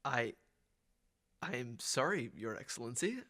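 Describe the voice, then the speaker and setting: Radio drama pilot male actor: medium pitch, animated inflection, dutiful, formal, hesitant underling. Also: a little slow, vocal fry, heavily acted. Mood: meek, apologetic. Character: obedient, deferential male pilot to a tyrant. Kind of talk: old-time radio drama acting.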